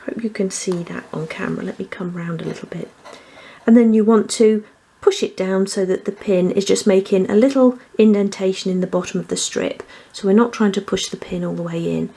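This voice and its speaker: A woman's voice: medium pitch, fairly even intonation, brisk, gravelly, dark tone, slightly rough. A woman presenting a hands-on craft tutorial, explaining each step methodically. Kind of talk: hands-on step-by-step instructional demonstration.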